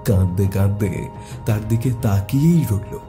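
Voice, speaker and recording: A man narrating a scripted horror story in a suspenseful, deliberate, dramatic way. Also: lowish voice, over music.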